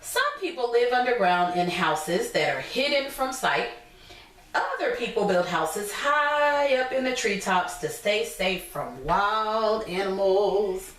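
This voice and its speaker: A woman teacher reading a picture book aloud to young children, pausing to explain things simply in her own words. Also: medium pitch, expressive, fairly bright tone. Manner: instructive, repeats and explains points simply. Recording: echoey room, distant from mic.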